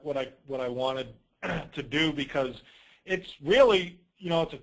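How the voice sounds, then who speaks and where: A man hosts an informal business conference call in a fairly high voice. He talks hesitantly, doubling back on words and clearing his throat. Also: fairly bright tone, rough recording.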